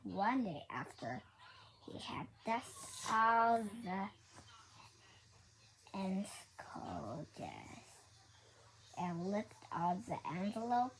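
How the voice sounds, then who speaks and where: A woman reading a children's book aloud in a halting way, often repeating and correcting her words. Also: mid-range voice, sing-song, poor audio. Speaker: storybook reader. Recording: faint background noise.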